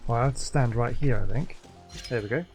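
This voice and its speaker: A man's voice: mid-range voice, fairly quick, faint music behind. A man giving casual gameplay commentary, hesitantly thinking aloud as he plays. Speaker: gamer doing a playthrough.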